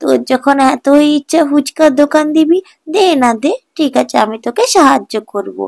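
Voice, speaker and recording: A woman voicing a kindly old village grandmother in a cartoon folk tale, fond and reassuring, with drawn-out words. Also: high-pitched, animated, vocal fry, a little mellow, no room sound, clean studio sound. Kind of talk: animated children's folk tale acting.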